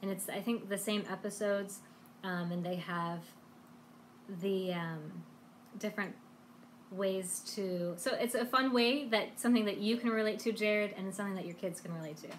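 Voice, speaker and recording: A woman's voice: mid-range voice, moderate pace, a little hiss or hum. A woman hosting a language lesson live stream, talking in a casual, chatty and encouraging way.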